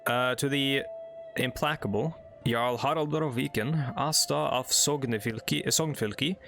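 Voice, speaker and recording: A young Norwegian man talks through a strategy game in loose, filler-filled asides, a Norwegian accent coloring his English. His voice sits mid-pitch with a gravelly fry. Faint music hums underneath. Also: unhurried, a bit bright. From Norway. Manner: rambling, filler-heavy, chatty asides while reading game text. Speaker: let's play host. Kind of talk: casual gameplay commentary.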